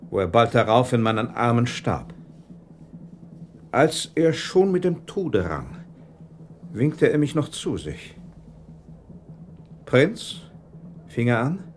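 Earnest prince, slow and expressive. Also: male voice, mid-range voice, a little hiss or hum. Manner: formal, theatrical. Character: earnest noble prince, courteous and sincere. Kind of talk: German radio play acting.

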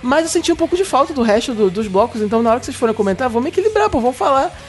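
Fast-talking rambling Brazilian podcast co-host. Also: male voice, extremely high pitch, very animated, soft background music. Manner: fast, rambling, stammering restarts. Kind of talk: casual podcast banter.

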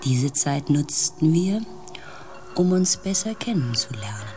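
An actress playing a thoughtful spaceship team coordinator who narrates a radio drama in a reflective manner. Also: female voice, deep voice, sing-song, measured pace, mellow, soft background music.

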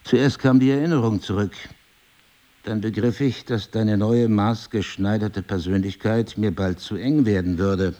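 An actor playing a married crime novelist in an intimate drama, husky and articulate, probing, cynical and wounded, with deliberate literary phrasing. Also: male voice, fairly low pitch, fairly even intonation, mellow, close to an everyday voice. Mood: reflective, confiding. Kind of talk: intimate two-person stage drama dialogue.

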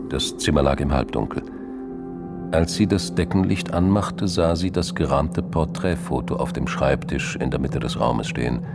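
A man narrating a radio crime drama in measured short phrases, with noise behind his voice. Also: a bass voice, gravelly, heavily acted. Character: male narrator of a political crime story.

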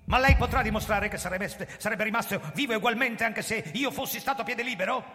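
An actor playing an embattled, commanding police commissioner in a radio drama, theatrical and sardonic, worldly-wise in manner. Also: male voice, sits high, fast talker. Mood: grave, forceful. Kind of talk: radio drama acting and first-person narration. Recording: reverberant stage sound, loud music behind. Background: music.

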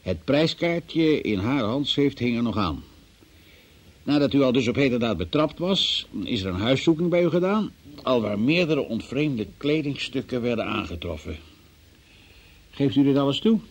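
An actor playing a formal, businesslike examining magistrate in a Dutch-language radio play. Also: male voice, mid-range voice, animated, normal speed. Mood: official, matter-of-fact. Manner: formal, official, procedural phrasing. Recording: dull, muffled old radio recording.

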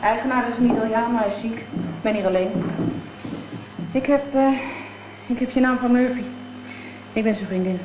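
Brash high-voiced chip-shop girl, played by a woman. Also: normal speed, long pauses, natural voice. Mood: brash, wary. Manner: blunt, cheeky. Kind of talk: Dutch radio drama acting. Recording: dull old radio recording, faint noise.

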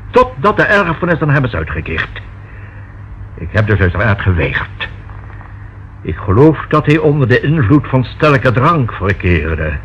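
A man's voice: medium pitch, husky. A man acting a discreet, proper notary in a Dutch radio play, speaking formally and choosing precise words. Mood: stiffly displeased. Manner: formal, measured, precisely worded. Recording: dull, faintly noisy old radio recording.

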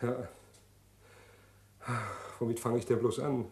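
An actor playing a condemned male prisoner in a 1960s German radio drama, agitated and halting as he pleads to confess. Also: medium pitch, level tone, dark tone. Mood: tormented, confused. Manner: agitated, halting, repeating himself.

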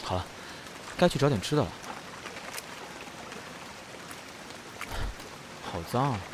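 A man's voice: medium pitch, expressive, fast talker, noisy background, natural voice. An actor playing an aloof, sardonic young nonhuman man in a costume audio drama, with a detached way of talking. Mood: weary grumbling.

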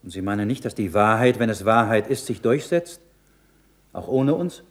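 An earnest, lowish-voiced young-science priest. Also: male voice, expressive, moderate pace. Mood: earnest, pleading. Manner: earnest, questioning. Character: earnest, doubting male priest who studies physics. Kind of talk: German radio play acting.

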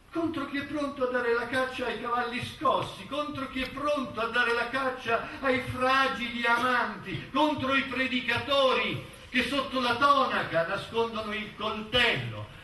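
A man acting an anguished poet, reciting dramatic verse in a slow, declamatory, impassioned way. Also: high voice, pushed and bright, exaggerated voice. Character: anguished male poet reciting a farewell to a beloved. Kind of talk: theatrical dramatic poetry recitation. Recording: distant echoey stage with faint noise.

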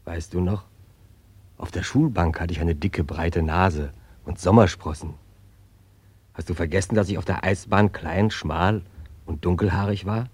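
A man playing a mysterious, persistent other self in a German radio play, deliberate, calm and insistent. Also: sits low, no echo. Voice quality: husky.

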